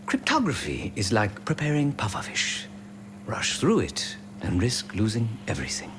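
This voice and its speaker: An actor playing a patient, fussy codebreaker in a video game cutscene. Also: male voice, fairly low pitch, huge pitch swings, moderate pace, background noise. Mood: patient, weary. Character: patient, fussy male codebreaker fond of cooking analogies.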